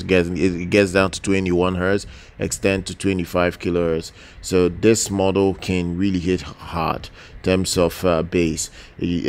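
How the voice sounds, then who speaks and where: A man's voice: low voice, a little mellow, smooth. A man presenting product news casually as a channel host, speaking hesitantly and often repeating words and phrases.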